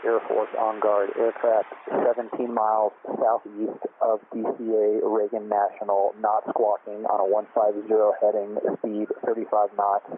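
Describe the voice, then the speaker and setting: A man's voice: lowish voice, monotone, moderate pace, hardly any pauses. A man working as a military air defense controller gives formal, procedural warnings in clipped aviation phraseology over the radio. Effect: aviation radio transmission. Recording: tinny, noisy aircraft radio channel.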